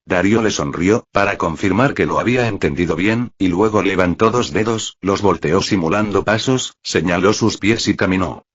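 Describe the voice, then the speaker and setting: Un hombre de voz ronca que lee una historia como narrador de audiolibro, con texto preparado. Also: male voice, low voice, moderate pace, few pauses, husky. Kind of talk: scripted story narration. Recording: muffled, roomy, lo-fi audio.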